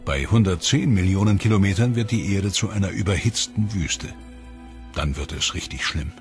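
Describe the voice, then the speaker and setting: A man with a somewhat low voice narrates a science documentary in a steady, explanatory way. A clear music track sits beneath his voice. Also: moderate pace. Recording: music bed under voice.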